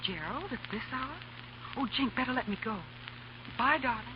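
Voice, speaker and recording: A woman's voice: on the high side, lively intonation, normal speed, natural voice. A woman acting an anxious young society fiancée in a 1930s radio play, genteel and pleading in manner. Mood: pleading, torn. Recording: dull, faintly noisy old radio recording.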